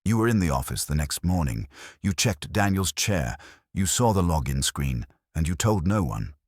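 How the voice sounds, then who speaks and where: A man plays a coldly logical detective in a mystery audiobook, with an extremely low, husky voice. He speaks formally and accusingly, his pitch swinging with emphasis. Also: very animated, moderate pace, no echo, clean studio sound. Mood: cold, incisive. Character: commanding, coldly logical male detective confronting suspects. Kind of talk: audiobook detective story dialogue.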